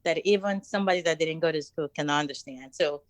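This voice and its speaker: Frank Nigerian woman, low-voiced. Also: no room sound. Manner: frank and emphatic, quick to laugh. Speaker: podcast guest. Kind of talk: casual podcast chat.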